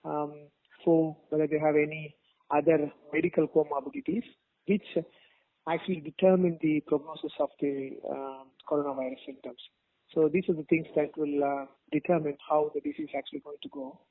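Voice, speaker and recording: A man speaking as a doctor in a phone interview, giving formal, halting, measured explanations over a narrow phone line. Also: on the high side, creaky. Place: phone call. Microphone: telephone.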